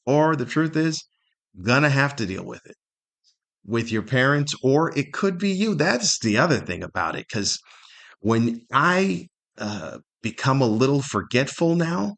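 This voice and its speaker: A middle-aged man with a mid-range voice speaks alone as a podcast host. His delivery is candid and conversational, like someone thinking aloud. He pauses to find the right word and often repeats a phrase as he reflects. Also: mid-range voice, gravelly, fairly bright tone. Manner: candid, conversational, thoughtful with searching pauses and repetition. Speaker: podcast host, stand-up comedian. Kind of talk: reflective solo podcast monologue.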